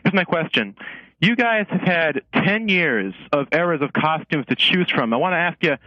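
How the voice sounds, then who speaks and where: A man's voice: fairly high pitch, lively intonation, normal speed, no room sound. A man calling in to a radio show as a fan, putting his question eagerly over a phone line in an archived lo-fi recording.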